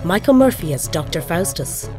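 A woman's voice: on the low side, sing-song, normal speed. A woman reading a scripted announcement of credits. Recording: clear music bed.

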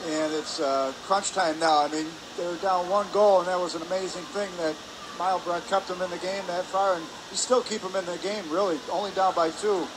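A man's voice: high voice, a little fast. A man giving live hockey analysis as a color commentator, conversational with hesitant phrasing. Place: press area above rink in an indoor arena. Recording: noisy ice arena, steady background din.

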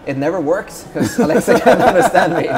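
Fast, upbeat Swedish-accented man. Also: high-pitched, animated, a loud crowd. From Sweden. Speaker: startup founder. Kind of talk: business interview. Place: office lounge, indoors. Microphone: lapel.

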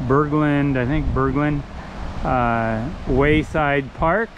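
Slow-talking man by a rushing river. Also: on the high side, lo-fi sound. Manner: relaxed, enthusiastic, rambling with restarts. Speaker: photographer vlogger. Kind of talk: casual travel narration. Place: outdoors by a river and waterfalls. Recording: outdoor noise of rushing water. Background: rushing water.

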